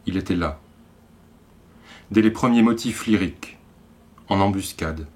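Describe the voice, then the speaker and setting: A man with an accent from France reads literary poetry in a measured way, in short phrases set apart by deliberate pauses. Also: low voice. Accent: French from France. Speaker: poet reading own work.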